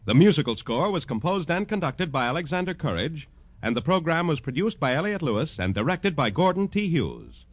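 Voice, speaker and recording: A man's voice: a little high, sing-song, fairly quick, dry recording. A man working as a network radio announcer, reading credits and promotions in polished 1950s style on an old broadcast recording. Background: loud orchestral music.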